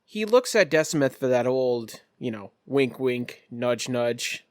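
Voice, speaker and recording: A man's voice: mid-range voice, expressive, measured pace, no echo, studio quality. A man narrating a tabletop game as its host and game master, in a dramatic storytelling style.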